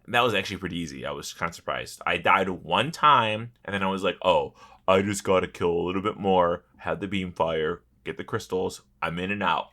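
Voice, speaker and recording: A man co-hosting a casual gaming podcast, telling stories in a blunt, profanity-laced way. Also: deep voice. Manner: profane, blunt, animated storytelling. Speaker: podcast co-host. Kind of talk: casual chat about online gaming.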